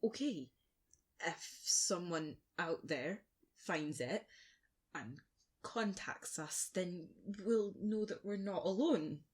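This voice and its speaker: An actress playing a nervous, wary survivor in an audio drama, husky and anxious. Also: female voice, fairly low pitch, lively intonation, slow pace, darkish tone, exaggerated voice. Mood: anxious, practical.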